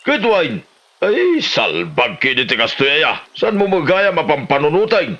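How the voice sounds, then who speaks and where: A man's voice: on the high side, very animated, unhurried, gravelly, pushed and bright, dull audio, heavily acted. An actor playing an exasperated Filipino family man, questioning and reproving, in a comedy drama. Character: exasperated male relative reproving a grumpy older woman. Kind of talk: Ilocano comedy drama acting.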